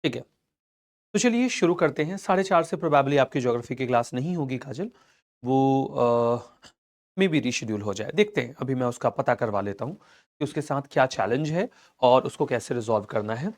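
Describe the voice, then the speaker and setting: A man with an Indian accent and a slightly hoarse throat, teaching a live online history class and reassuring his students as he goes. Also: mid-range voice, lively intonation, normal speed. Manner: reassuring, calls students "beta", mixes English into Hindi.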